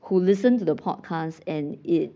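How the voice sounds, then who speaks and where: Singaporean female co-host, warm mellow voice, thoughtful and chatty. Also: lowish voice, moderate pace. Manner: reflective, uses lots of fillers like "you know" and "right". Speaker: podcast co-host. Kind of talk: casual chat.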